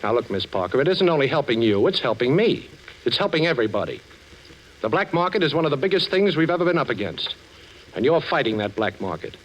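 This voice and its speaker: A man's voice: mid-range voice, sing-song, quick pace, lightly acted. Man acting a suave wisecracking detective, old radio. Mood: earnest. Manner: wry, smooth-talking, sarcastic. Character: suave, wisecracking ex-thief turned detective, unflappable. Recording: muffled, hissy old 1940s radio recording.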